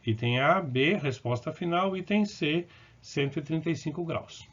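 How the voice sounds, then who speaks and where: A man's voice: a little high, lively intonation, moderate pace, fairly bright tone. A man teaching math, explaining step by step and correcting himself as he goes, with short check-ins to the viewer. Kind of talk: recorded lesson working through problems. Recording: dull, lo-fi recording.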